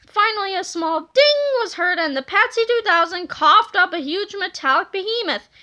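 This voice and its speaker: A woman narrating a children's book aloud in an expressive, dramatic way. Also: very high voice, moderate pace, muffled recording.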